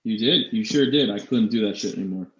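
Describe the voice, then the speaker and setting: A man co-hosting a casual fantasy sports live show, talking in a halting way with repeated and restarted phrases. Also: fairly low pitch, slight background noise. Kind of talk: casual sports talk banter. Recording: echoey room, dull lo-fi mic.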